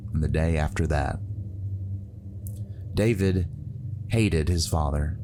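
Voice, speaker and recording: A man narrating a scripted fantasy story, with plucked guitar music playing behind him. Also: deep voice.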